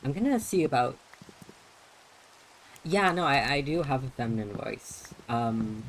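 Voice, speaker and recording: A man's voice: medium pitch, a little slow, a little hiss or hum, poor audio. Rambling young man with a feminine lilt. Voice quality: voice neutral leaning feminine, light feminine delivery. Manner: rambling, many restarts and filler words. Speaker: game streamer. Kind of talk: casual live-stream chat while playing.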